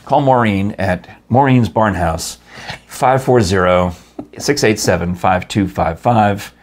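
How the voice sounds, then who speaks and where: Low, husky-voiced man hosting a chat show. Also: takes it slowly. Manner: jokey, rambling, playful. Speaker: host. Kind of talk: casual studio chat, sponsor read. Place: small studio set at a table.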